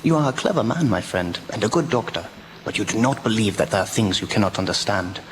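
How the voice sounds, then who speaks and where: A man's voice: on the low side, quick pace, a bit bright, slight background noise, natural voice. A man playing a grave, urgent doctor and vampire hunter in a radio drama, lecturing emphatically. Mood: insistent, probing. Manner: emphatic, lecturing, rhetorical questions.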